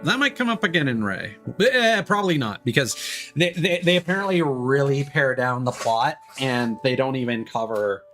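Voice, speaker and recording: A man doing casual live game commentary as a streamer, thinking aloud in a rambling, halting way. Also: medium pitch, lively intonation, fairly bright tone. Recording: music behind the voice. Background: game music.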